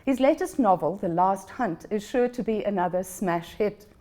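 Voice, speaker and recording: Mellow-voiced woman interview host. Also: medium pitch, animated, normal speed. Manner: friendly, with brief encouraging backchannels. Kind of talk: interview conversation.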